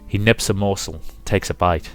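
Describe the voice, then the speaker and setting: Low-voiced man narrating theatrically over music. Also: moderate pace. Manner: rhythmic, theatrical reading. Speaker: let's play host. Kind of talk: dramatic narration of visual novel, with commentary.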